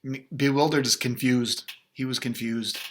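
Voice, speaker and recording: A man's voice: mid-range voice, steady tone, moderate pace, background noise. A man, a father co-hosting a children's read-aloud, chatting casually with joking, teasing and mock-dramatic interjections. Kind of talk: casual playful chat and interjections.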